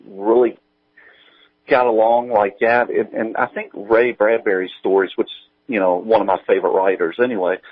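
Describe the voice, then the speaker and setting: A man chatting casually as an interview guest, thinking aloud in a halting, rambling way. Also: on the low side, steady tone, dry recording, phone-quality sound. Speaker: guest, audio drama writer and producer.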